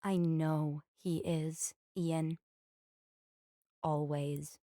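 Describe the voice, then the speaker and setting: A woman playing a warm, caring big sister and storyteller, gentle and expressive, in a family audio drama. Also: sits low, drawn-out words, dry recording, clean studio sound, exaggerated voice. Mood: tender.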